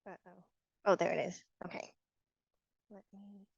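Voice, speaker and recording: Brief: hoarse female instructor on a video call, patient and encouraging, dry dull sound. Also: mid-range voice, little pitch movement, a little slow, no room sound. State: hoarse from a cold. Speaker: sign language instructor. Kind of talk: online class teaching.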